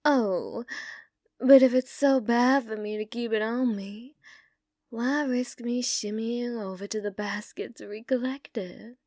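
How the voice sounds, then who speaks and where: Casting: actress as flirty female bounty hunter for western ASMR roleplay. Higher female voice, unhurried, coaxing and dominant. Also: a little mellow, no room sound, clean studio sound. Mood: wry, persuasive. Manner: coaxing, drawling endearments, drops into breathy whispers. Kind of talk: ASMR roleplay, scripted character acting.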